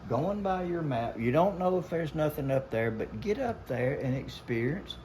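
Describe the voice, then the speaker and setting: A man's voice: fairly high pitch, lively intonation, normal speed, a little mellow. Older man, Southern US accent, casual folksy storyteller, emphatic delivery, noisy and roomy pickup. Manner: folksy, emphatic, quotes past conversations. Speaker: guest telling hunting stories.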